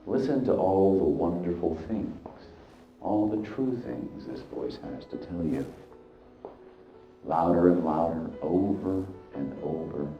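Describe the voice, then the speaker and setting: A man leading a guided hypnosis, speaking deliberately in short, halting phrases with hypnotic repetition. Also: sits low, lively intonation. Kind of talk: guided hypnosis, slow meditative narration. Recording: echoey, dull sound with music behind. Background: background music.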